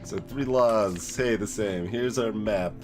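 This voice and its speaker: A man's voice: lowish voice, takes it slowly. A man hosting a let's play with casual gameplay commentary, thinking aloud and often hesitating as he muses. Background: game music.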